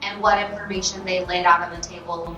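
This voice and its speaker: A woman's voice: fairly low pitch, level tone, a little fast, background noise. An American woman giving practical explanations of her work practice in a group discussion. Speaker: car dealership employee, workshop participant. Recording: echoey room, distant mic, background music.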